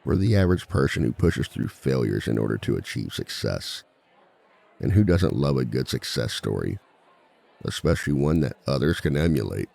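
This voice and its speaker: A man playing a suave villain who lectures like a seminar speaker, measured and wry, in a scripted audio roleplay. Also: sing-song, darkish tone, heavily acted. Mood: earnest, rousing. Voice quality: very deep, gravelly. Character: suave male villain giving a dating seminar to fellow villains. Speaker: seminar speaker and relationship expert.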